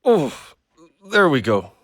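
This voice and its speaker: A man's voice: on the high side, wildly swooping pitch, slow pace, a bit bright, no room sound, clean studio sound, exaggerated voice. A man playing a weary but kindly man down on his luck in an audio drama, with a folksy, colloquial manner. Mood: groaning, weary.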